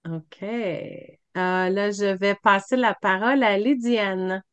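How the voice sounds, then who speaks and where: A woman's voice: mid-range voice, fairly even intonation, slow, a bit bright, clean tone, dry recording, dull audio. A woman leading an online video meeting, handing the floor to each speaker and praising their answers. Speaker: meeting facilitator. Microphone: computer mic on video call.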